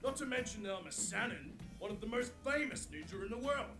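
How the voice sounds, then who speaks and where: Casting: self-important, indignant ninja master played by a man, Australian, animated high delivery, echo and music underneath. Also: quick pace. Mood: boastful. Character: boastful, indignant older male ninja mentor. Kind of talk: anime parody voice acting. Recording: echoey, music behind the voice.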